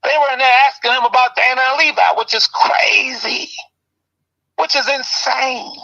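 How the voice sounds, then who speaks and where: A man with a high, bright voice rants with passion on a talk show. He swings between loud outbursts and low, pressing remarks. The audio is dull and lo-fi. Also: normal speed, vocal fry. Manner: impassioned, ranting, swings loud and soft. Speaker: commentary show host. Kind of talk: heated casual talk.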